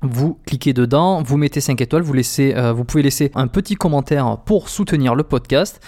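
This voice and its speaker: A man's voice: medium pitch, brisk, darkish tone. A man hosting a podcast, introducing his guest and talking directly to listeners in a conversational way with frequent hesitations. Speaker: podcast host. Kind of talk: podcast interview and listener appeal.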